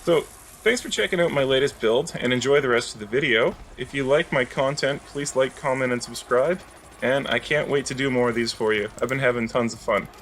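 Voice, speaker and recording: A man's voice: mid-range voice, a little fast, fairly bright tone, low-quality recording. Male gaming creator, mid-range voice, casual and instructional build walkthrough over light background noise. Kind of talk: explanatory game build commentary.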